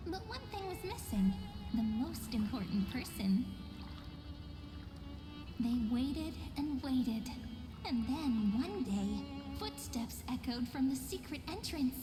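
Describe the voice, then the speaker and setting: A woman's voice: high voice, very animated, slow, pauses often, exaggerated voice. A woman voicing a playful, dreamy young woman in a video game, speaking in a teasing, lilting, poetic way. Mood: storytelling. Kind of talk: video game character acting. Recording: long hall reverb under clear music.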